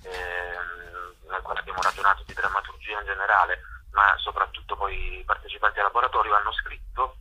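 A man answering as a guest in a radio interview, hesitant and halting as he searches for words. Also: sits low, little pitch movement, sharp tone, a little hiss or hum. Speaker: interview guest, theatre artist and workshop teacher. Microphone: telephone. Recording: dull telephone line on radio.

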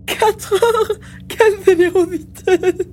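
A woman's voice: very high-pitched, fairly even intonation, slow, darkish tone. A woman voicing a cheerful, mischievous male mutant mouse-beaver with a playful manner in an audio drama. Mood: mocking glee. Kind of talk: acted audiobook dialogue. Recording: faint background noise and hum.

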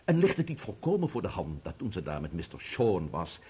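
Radio drama barrister: male, formal, pointed cross-examiner, raspy tone, wide pitch swings. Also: wildly swooping pitch, fairly quick, a little mellow, heavily acted. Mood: pointed, insistent. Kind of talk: Dutch radio play acting.

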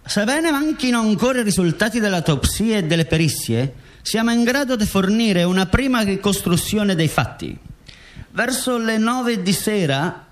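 A guard commander in a radio play. The voice is notably high for a male, and the delivery is formal, like an official statement. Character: dead guard commander recounting his killing, formal. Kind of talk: scripted radio drama monologue.

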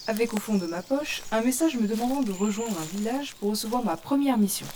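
A woman's voice: a little high, mellow, slight background noise, lightly acted. Contemplative woman adventurer, immersed and observant, played by an actress. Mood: calm recounting. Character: female novice archer in virtual world, awestruck, observant. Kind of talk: French audio fiction, descriptive first-person narration. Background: forest ambience with birds and insects.

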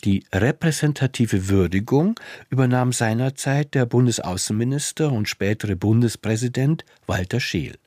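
A man's voice: mid-range voice, moderate pace, darkish tone, dry recording, clean studio sound. A man reading scripted documentary narration as a radio announcer, sober and factual.

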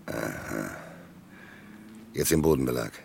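An actor playing a gruff, curt police commissioner in a vintage German radio crime drama. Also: male voice, a bass voice, expressive, unhurried, a little mellow, full character voice. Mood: curt, commanding. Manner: curt, commanding. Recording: noisy old radio drama recording.

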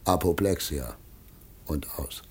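An actor playing a greedy, crude husband in a classic German radio play, halting and pompous in his talk. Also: very deep voice, a little hiss or hum. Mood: greedy, muttering.

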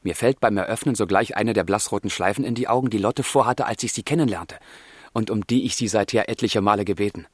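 A man's voice: on the low side, fast talker, husky, dry recording, clean studio sound. An actor playing a passionate, brooding young man of the 1770s in a literary radio drama, with fervent, declamatory delivery. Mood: wistful, tender. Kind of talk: literary radio drama, dialogue and letter monologue.

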